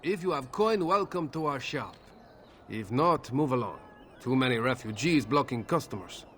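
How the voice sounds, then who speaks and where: A man's voice: mid-range voice, lively intonation, normal speed, fairly bright tone, a little hiss or hum, lightly acted. A man playing a brusque, grumbling shopkeeper in a fantasy game. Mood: curt, irritable. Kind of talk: fantasy video game dialogue acting.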